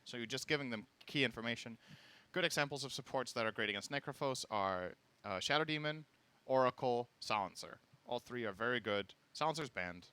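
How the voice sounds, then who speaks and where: A man casting a live esports match, calmly analyzing the teams and their draft picks in a dry, matter-of-fact way. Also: mid-range voice, a little fast, clear, resonant tone. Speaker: esports caster.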